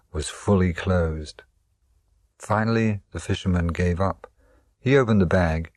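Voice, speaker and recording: A man's voice: sits low, dry recording, clean studio sound. A man narrating a scripted fable as a storyteller.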